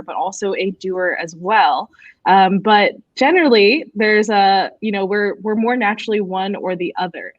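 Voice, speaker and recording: A woman's voice: medium pitch, dry recording, muffled recording. A woman co-hosting a podcast, asking friendly questions with frequent fillers. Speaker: podcast co-host. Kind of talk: casual interview questions.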